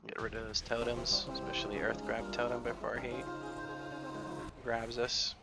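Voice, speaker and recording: A man's voice: medium pitch, monotone, moderate pace, creaky, over loud music. A man with an American accent giving chatty, rambling gameplay commentary and often correcting himself. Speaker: gaming YouTuber.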